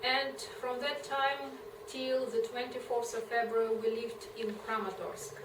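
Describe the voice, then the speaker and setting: A Ukrainian-accented woman speaks slowly and haltingly in English, searching for words with many fillers. Her voice sits fairly high and comes from far off in an echoey room. Clear background noise runs behind her. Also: flat delivery. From eastern Ukraine. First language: Ukrainian or Russian. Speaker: interview guest. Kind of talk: personal testimony in a second language.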